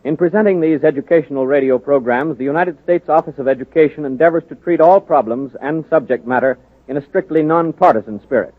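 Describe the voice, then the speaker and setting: A man's voice: a little high, fairly quick, slight background noise. A man announcing program credits in formal 1930s network radio style. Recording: tinny 1930s radio recording. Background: loud music.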